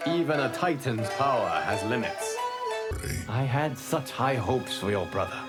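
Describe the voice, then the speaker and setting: Actor as a solemn god under loud music. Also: male voice, medium pitch, lively intonation, lightly acted. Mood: grave, regretful. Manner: measured, formal, grave. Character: calm, solemn male god who keeps time and guards the realms. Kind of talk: video game character dialogue.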